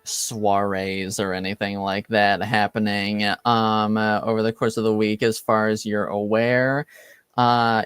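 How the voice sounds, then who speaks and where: A man acts as game master for a tabletop roleplaying session. He speaks slowly, haltingly working out the narration as he goes. Faint music plays behind his voice. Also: lowish voice, smooth. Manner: hesitant, halting delivery.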